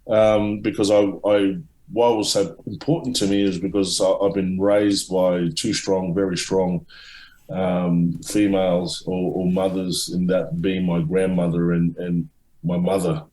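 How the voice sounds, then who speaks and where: A middle-aged man answering interview questions at length, halting, with many restarts and fillers. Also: low-pitched, level tone, mellow, reverberant, poor audio. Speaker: author and guest.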